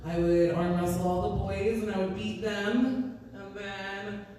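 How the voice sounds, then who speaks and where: A woman in her thirties with a raspy voice, a singer-songwriter chatting on stage between songs in a live music venue, rambling and self-deprecating. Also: medium pitch, fairly even intonation, measured pace, mellow, a little hiss or hum. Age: 30s. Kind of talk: casual stage banter. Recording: echoey room, mic from a distance.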